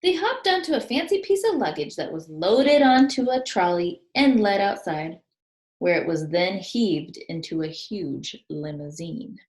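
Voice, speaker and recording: A woman reading a children's picture book aloud expressively, pausing to put questions to listeners and point out pictures. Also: fairly low pitch, big pitch swings, darkish tone. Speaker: storybook reader, teacher.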